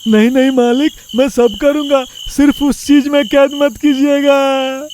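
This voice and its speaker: A man voicing a man-eating ghost in a children's cartoon story, boastful but cowardly, with theatrical, drawn-out speech. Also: very high voice, flat delivery, darkish tone, slight background noise. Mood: pleading, servile. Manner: theatrical, drawn-out speech with gloating menace or pleading.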